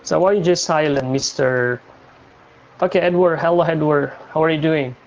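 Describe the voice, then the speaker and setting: A young man from Indonesia hosting a casual livestream chat, talking in a halting way with many fillers and restarts. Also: a little high, highs cut off. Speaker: livestream host.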